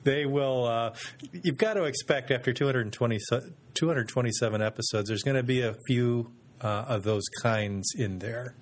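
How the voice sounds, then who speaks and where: A man hosting a podcast in casual chat, relaxed and often pausing with ums and restarts. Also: mid-range voice. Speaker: podcast host.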